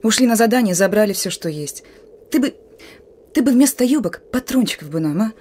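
A woman playing a young female partisan sentry in a radio drama, blunt and colloquial, gruff yet dreamy. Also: on the high side, lively intonation, brisk, warm tone. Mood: gruff, bossy. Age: young adult. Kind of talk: Russian radio drama acting.

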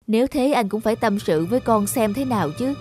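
A woman's voice: on the high side, animated, brisk, a little mellow, faint music behind, lightly acted. A woman voicing a worried, weary wife and mother in a cartoon, earnest and concerned. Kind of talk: cartoon dubbing.

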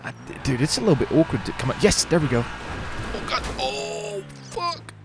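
A man's voice: fairly high pitch, huge pitch swings, normal speed, background noise. A man commentating casually on his gameplay as a streamer, with slangy, sweary talk. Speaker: streamer hosting a gaming video.

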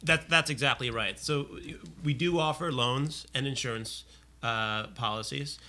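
A man in his thirties, a guest at a studio interview, speaking in a polished, formal way with many brief pauses. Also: mid-range voice, bright, forceful tone. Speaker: guest, government agency official. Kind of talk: interview answers. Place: small radio studio. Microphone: studio mic on stand.

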